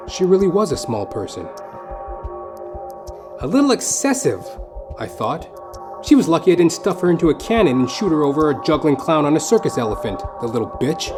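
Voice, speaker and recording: A man delivering scripted comic narration for an audio play, with theatrical, mock-literary phrasing. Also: a little high. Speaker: comedian and storyteller. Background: music.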